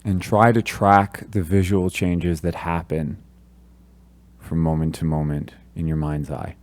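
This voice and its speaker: A man leading a guided meditation, giving gentle, calm instructions with long pauses between phrases. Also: deep voice.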